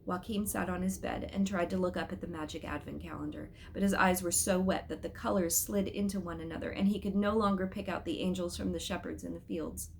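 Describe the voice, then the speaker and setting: A woman reading a children's story aloud as an expressive storyteller, with dramatic, emphatic phrasing. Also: fairly low pitch, fairly quick, creaky, hardly any pauses, a little hiss or hum.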